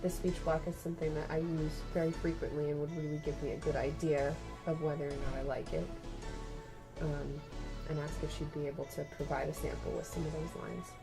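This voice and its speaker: A woman's voice: sits low, level tone, brisk. Chatty woman over music. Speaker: coworker helping with the voice. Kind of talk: casual chat.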